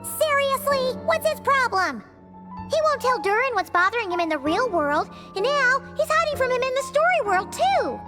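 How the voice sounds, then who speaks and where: Squeaky, excitable little pixie girl. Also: moderate pace. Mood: indignant. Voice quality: childlike, squeaky. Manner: exclamatory, blunt, animated. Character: small excitable pixie girl companion, bossy and cheerful. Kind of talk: animated video game acting. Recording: music behind the voice.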